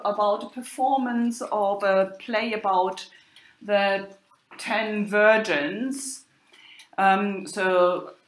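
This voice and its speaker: A woman university lecturer talking over slides, hesitant, with many fillers and pauses. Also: medium pitch. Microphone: recorded voice-over for slides. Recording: roomy echo.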